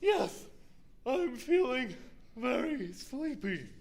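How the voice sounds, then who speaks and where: A squeaky, excitable horse for a children's radio play. The voice is very high and creaky and draws words out very slowly. It stays cheery and agreeable, over faint noise. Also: male voice, exaggerated voice. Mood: contented.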